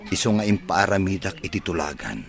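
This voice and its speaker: An actor playing an insistent, well-off young man in an Ilocano radio drama, with a husky voice. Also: low-pitched, animated, fairly quick. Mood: businesslike. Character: wealthy young man of a mansion, insistent, obedient son.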